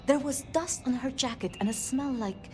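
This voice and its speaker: An actress voicing a gentle, anxious, idealistic female vampire in a video game, with a hesitant, earnest manner. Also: sits high, normal speed, a little hiss or hum, natural voice. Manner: hesitant, earnest, flustered, polite.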